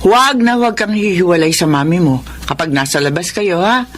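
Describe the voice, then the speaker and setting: A woman's voice: lowish voice, lively intonation, fairly quick, slight background noise, lightly acted. A woman playing a caring, fussing grandmother in a Filipino radio drama. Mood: fussing, tender.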